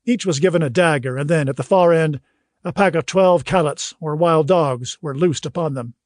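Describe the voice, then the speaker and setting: A man reading scripted audiobook narration in a measured, literary storytelling manner. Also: on the high side, dry recording, studio quality.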